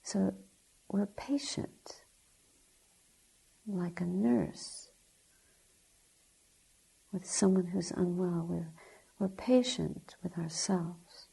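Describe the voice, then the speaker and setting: A woman teaching guided meditation in a soft, breathy, gentle way, speaking in short deliberate phrases with long pauses. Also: on the low side, rough recording. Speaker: Buddhist nun, meditation teacher.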